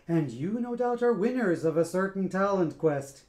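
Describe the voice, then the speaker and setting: A man's voice: sits high, animated, slow pace, a little mellow. A man reading a picture book aloud, voicing a cool, mocking male alien who leads a junkyard band, with a smug, drawling manner. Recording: roomy echoey room.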